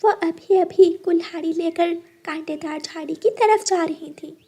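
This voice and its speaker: A caring little cartoon girl bird, played by a woman, with an extremely high, mellow voice. She speaks sweetly and earnestly. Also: a little slow. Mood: urgent. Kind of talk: Hindi moral cartoon character acting.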